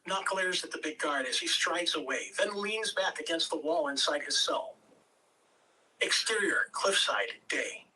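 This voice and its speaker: A man's voice: on the high side, unhurried, sharp tone. A man reading out stage directions as scripted narration at an amateur table read. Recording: distant room mic, faint noise.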